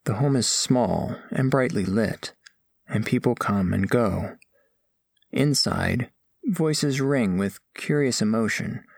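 A man playing a gentle, earnest alien scholar who narrates audio fiction in measured, formal, precise language. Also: mid-range voice, gravelly, no echo, studio quality, exaggerated voice. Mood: reflective. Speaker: first-person narrator of a story.

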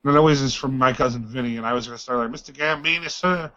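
A hoarse man, a podcast guest, rambling wryly in casual comedy chat. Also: medium pitch, very fast, sharp tone. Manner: rambling, wry. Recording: slightly dull lo-fi audio.

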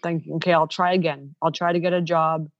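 A Canadian woman in her thirties whose first language is Ukrainian, telling her story candidly on a podcast with quick asides. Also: low voice, little pitch movement, no echo, muffled recording. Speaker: podcast co-host. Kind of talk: casual podcast conversation.

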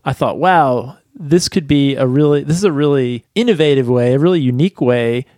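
A man's voice: mid-range voice, brisk, a little mellow, no echo, studio quality. A man co-hosting a casual science podcast, talking in an easygoing way and chuckling often. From grew up fishing rivers. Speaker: podcast co-host. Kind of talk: casual chat.

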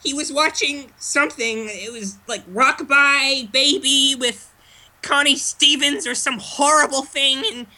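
A man putting on a high, prim, hushed voice. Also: fairly even intonation, unhurried, heavily acted. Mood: hushed, fussy. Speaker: podcast guest. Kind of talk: comedic podcast bit.

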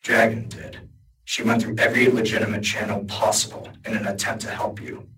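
A man reading a guarded, formal male armored hero in an audiobook, speaking in a measured way. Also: lowish voice, little pitch movement, sharp tone, recorded from a distance, poor audio. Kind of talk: audiobook character voice.

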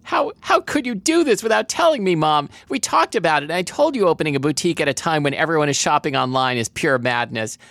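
A man's voice: a little high, very animated, brisk, few pauses, a bit bright, no echo, voice pushed up, exaggerated voice. A man voicing a distraught, indignant young adult daughter who scolds and pleads with her mother in a dramatic novel reading. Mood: tearful, accusing.

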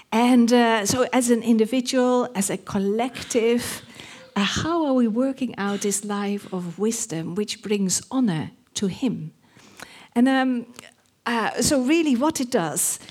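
A woman's voice: a little high, a little mellow. A middle-aged woman from the Netherlands with a Dutch accent, a pastoral worker giving an informal church talk in a chatty, self-deprecating way with many ums. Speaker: pastoral worker and guest speaker.

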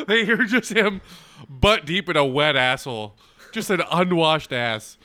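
A blunt man ribbing his friends in crude casual chat, pushing them to get on with it. His voice is bright and a bit tense, fairly high for a man, and his pitch moves a lot. The sound is dry and close. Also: expressive, unhurried, no room sound. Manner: teasing, bossy, blunt.

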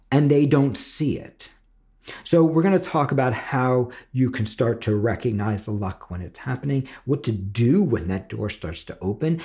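A man's voice: mid-range voice, warm tone. A man hosting and teaching in a motivational podcast style, speaking emphatically with repetition and frequent rhetorical check-ins. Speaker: screenwriting teacher and podcast host.

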